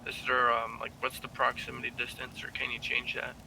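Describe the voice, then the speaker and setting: A man's voice: mid-range voice, monotone, a little fast, creaky, bright, forceful tone, a little hiss or hum, low-quality recording. A man chatting casually and appreciatively as a newcomer asking questions in an online game. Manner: friendly, appreciative.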